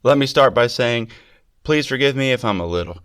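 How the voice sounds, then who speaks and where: A man hosting a podcast, giving a scripted art history lecture in an enthusiastic, emphatic way, his voice a little gravelly and congested from a cold. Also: medium pitch, expressive, fairly quick, a bit bright. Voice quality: slightly gravelly. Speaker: podcast host.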